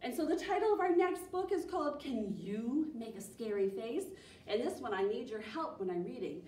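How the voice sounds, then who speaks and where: An American woman in her thirties leading a children's storytime, speaking in an animated, encouraging way to young listeners. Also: on the high side, moderate pace. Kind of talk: children's storytime reading and singalong. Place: small library program room, indoors. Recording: echoey room, distant mic, faint noise.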